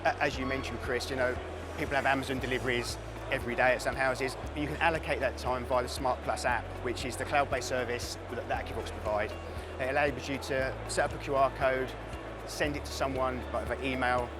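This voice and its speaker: Man explaining products over loud music. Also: mid-range voice. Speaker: product specialist being interviewed. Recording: loud background music under the voice.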